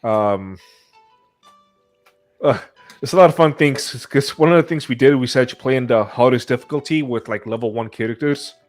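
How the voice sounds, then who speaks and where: A man's voice: mid-range voice, normal speed. Casual male podcast host over faint music.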